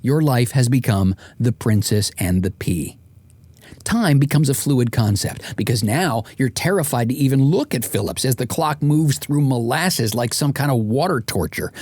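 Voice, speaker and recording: Male audiobook narrator, wry and comically exasperated storyteller, middling pitch, very dry close sound. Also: a little mellow, breathy edge, dry recording. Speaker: author and podcast host narrating.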